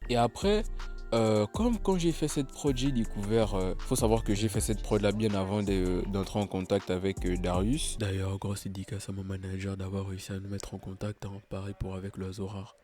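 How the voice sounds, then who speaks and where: Laid-back man, mellow voice, slightly congested. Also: on the low side. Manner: laid-back, chatty, many hesitations. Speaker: beatmaker walking through his own production. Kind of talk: casual tutorial narration.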